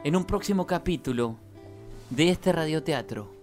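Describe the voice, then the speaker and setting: A woman's voice: low-pitched, lively intonation, moderate pace, darkish tone, soft background music. A woman reading scripted narration for a satirical radio drama in a mock-solemn, poetic manner.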